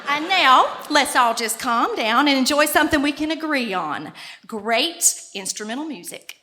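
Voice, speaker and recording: A woman acting as a brisk moderator in a live radio comedy sketch. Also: high-pitched, expressive, noisy background. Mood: exasperated, presiding.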